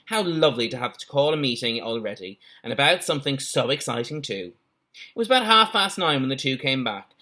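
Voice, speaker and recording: A man reading a children's story aloud in an animated way, with playful asides like a teacher. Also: mid-range voice, a little fast.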